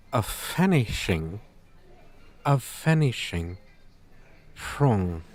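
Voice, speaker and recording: A man's voice: medium pitch, heavily acted. A man voicing a proud, scornful dragon teacher in a roleplay, speaking in pompous, stilted, formal phrases. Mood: stubborn, proud. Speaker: roleplay voice performer. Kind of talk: ASMR roleplay monologue, theatrical.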